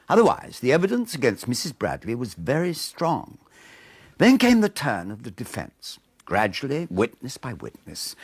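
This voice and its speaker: An actor with a British accent playing a dry, opinionated gentleman narrating a period mystery in a radio drama, wry and emphatic. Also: mid-range voice, slightly rough, heavily acted. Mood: measured storytelling. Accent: British English.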